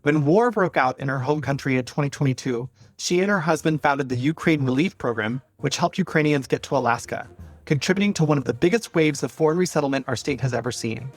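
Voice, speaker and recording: A man hosting a podcast, reading a scripted introduction and asking plain questions in a measured way. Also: a little high, no echo, soft background music. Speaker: podcast host.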